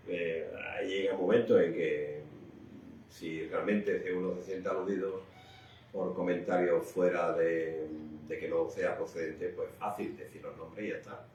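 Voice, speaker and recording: A man's voice: low-pitched, flat delivery, unhurried, a little mellow. A man hosting an unscripted local morning radio programme, hesitant and repeating himself. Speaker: radio host. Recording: roomy, distant mic with faint noise.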